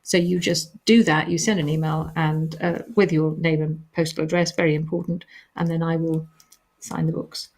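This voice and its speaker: Woman with a soothing, lowish voice reading out chat questions and answering them, lots of little ums. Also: moderate pace. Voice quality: calming voice. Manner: reads chat questions aloud, then answers with gentle hesitations. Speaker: author hosting a live reader Q&A. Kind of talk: live-stream question and answer.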